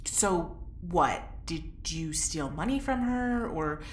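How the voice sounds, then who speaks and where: An actress playing a wry, anxious, plain-spoken test subject, casual and skeptical, in a naturalistic audio drama. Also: female voice, deep voice, expressive, slow, roomy sound, a little hiss or hum. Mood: skeptical, uneasy.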